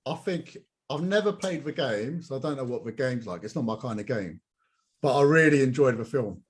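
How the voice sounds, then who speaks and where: A man's voice: mid-range voice, animated, moderate pace, breathy edge. A man chatting casually as a panelist on a podcast. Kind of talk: casual chat.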